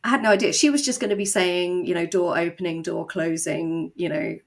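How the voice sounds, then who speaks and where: A woman guest, a writer and voice actor, chatting casually in an interview in an animated, self-deprecating way. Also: lowish voice, fairly quick, creaky.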